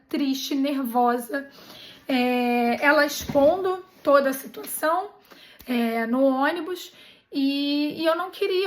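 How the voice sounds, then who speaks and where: A middle-aged Brazilian woman, a travel channel host, retelling a bad bus trip in an animated, indignant way, with many restarts. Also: high voice. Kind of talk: personal storytelling vlog.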